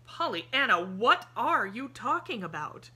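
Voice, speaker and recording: A woman voicing a lonely, earnest older gentleman in an audiobook, pleading and heartfelt. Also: lowish voice, a little slow. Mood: agitated. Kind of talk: audiobook character voice.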